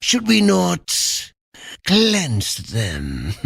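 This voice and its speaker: A man playing a servile, sly royal advisor with a halting, insinuating manner in a dark fantasy audio drama. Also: high-pitched, wildly swooping pitch, gravelly, husky, dry recording, clean studio sound, heavily acted. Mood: sly, coaxing. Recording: music behind.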